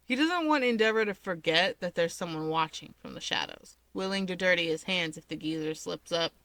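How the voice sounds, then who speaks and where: A woman's voice: on the low side, fairly quick, a bit bright. Woman voicing an easygoing, dismissive young man. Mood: casual, reassuring. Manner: casual, breezy explaining.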